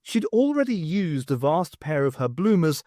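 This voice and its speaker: Animated, higher-voiced man narrating a story. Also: moderate pace, dry recording, studio quality. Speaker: audiobook narrator. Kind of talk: scripted dramatic story narration.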